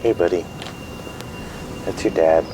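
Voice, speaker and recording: An actor playing an anxious, bewildered middle-aged father, speaking haltingly in a naturalistic audio drama. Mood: worried, tender. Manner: halting, hesitant, trailing off. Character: anxious, isolated, bewildered father leaving voicemails. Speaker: actor in a fiction podcast. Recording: noisy line with loud background rumble.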